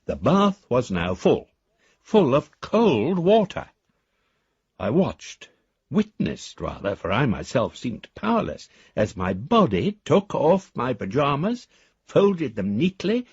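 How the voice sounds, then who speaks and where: A man's voice: medium pitch, darkish tone, breathy edge, no echo, lo-fi sound, heavily acted. An actor playing an eloquent, whimsical elderly father in a radio drama, wordy and wryly formal as he reads his letters aloud. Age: older.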